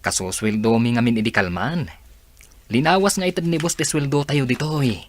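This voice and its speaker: An actor playing a friendly young construction worker in casual audio drama dialogue. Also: male voice, medium pitch, expressive, moderate pace, lightly acted. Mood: chatty.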